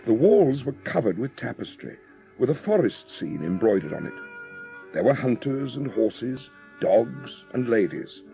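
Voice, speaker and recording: A man's voice: medium pitch, big pitch swings, measured pace, vocal fry, dark tone. A man giving scripted storybook narration as the narrator of a dramatised audiobook. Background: music.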